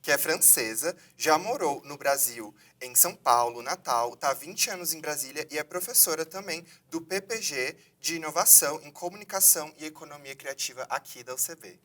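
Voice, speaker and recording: Bright-voiced male host, a bit distant. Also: on the high side, normal speed, few pauses. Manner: formal, scripted introductions. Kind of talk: videocast hosting. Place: university theater.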